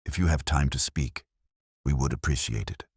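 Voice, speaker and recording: A man's voice: rumbling low, moderate pace, slightly rough, no echo, clean studio sound. A man playing a mild, polite police inspector who asks questions courteously.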